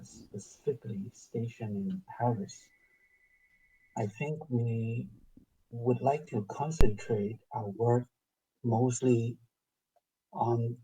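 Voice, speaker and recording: Slow, husky-voiced man searching for words. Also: mid-range voice, mellow, rough recording. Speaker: missionary. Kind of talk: interview answers.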